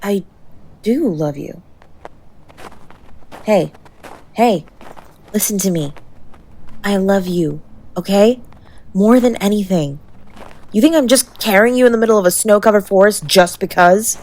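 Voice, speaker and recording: A woman playing a tough, sharp-tongued young woman who is secretly tender, in an intimate roleplay audio drama spoken to the listener. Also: medium pitch, unhurried, long pauses, slight background noise, close to an everyday voice. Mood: bossy, worried. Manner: brusque, bossy and teasing with urgent pleading.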